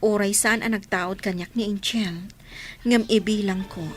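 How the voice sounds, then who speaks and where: An actress playing a kind, gentle woman who reassures a child and plans to be her stepmother. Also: mid-range voice, steady tone, a little slow, soft background music, close to an everyday voice.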